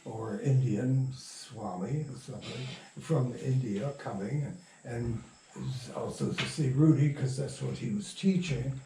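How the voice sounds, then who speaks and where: An older man telling anecdotes in an interview, halting and often interrupting himself in informal, salty language. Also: mid-range voice, mellow. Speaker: interviewee, radio drama producer. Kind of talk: rambling anecdotal storytelling in an interview. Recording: echoey, distant mic, faint noise.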